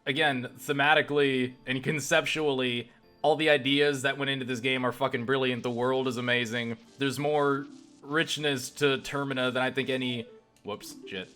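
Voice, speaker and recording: A man's voice: mid-range voice, level tone, moderate pace, bright, forceful tone, faint music behind. A young man giving casual, opinionated gaming commentary as a let's play host, emphatic and freely swearing.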